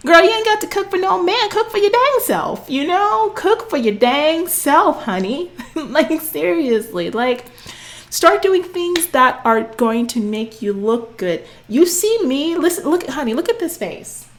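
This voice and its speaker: A woman's voice: sits high, sing-song, unhurried. Sassy woman in her forties, coaching. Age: 40s. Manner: sassy, preachy, calls listeners honey and girl. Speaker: fitness coach. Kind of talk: direct-to-camera coaching talk.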